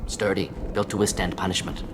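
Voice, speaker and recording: A man voicing a brisk alien scientist in a video game, talking in clipped, clinical telegraphic phrases. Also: deep voice, fairly even intonation, background noise. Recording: long reverberant hall, game music behind.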